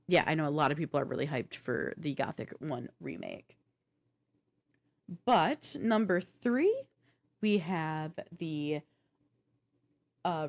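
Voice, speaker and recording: A woman co-hosting a gaming news show, counting down a list in a casual, chatty, informal way. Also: deep voice.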